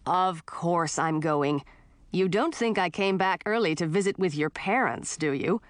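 A woman's voice: fairly low pitch, unhurried, no room sound, close to an everyday voice. Woman reading as an eager, stubborn young man. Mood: determined, teasing.

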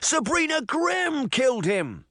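A man's voice: extremely high pitch, sing-song, takes it slowly, dry recording, clean studio sound, pitched above the performer's own voice, exaggerated voice. A man voicing a desperate young male villain in an audiobook, crying out and ranting frantically. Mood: panicked shouting. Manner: shouting, frantic, pleading and ranting. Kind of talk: audiobook character voice.

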